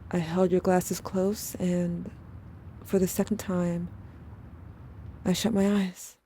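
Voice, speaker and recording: Woman acting as weary storyteller, somber, unhurried, audio drama narration, faint background noise. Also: fairly low pitch, little pitch movement, dark tone. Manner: measured, somber. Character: somber, weary narrator recounting haunted memories. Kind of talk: scripted first-person audio drama narration.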